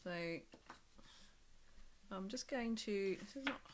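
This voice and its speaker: A woman with a slightly croaky voice from a cold, casually demonstrating a craft project live and thinking aloud as she works. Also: fairly high pitch, takes it slowly, noisy background. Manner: chatty, thinking aloud with many ums and small laughs.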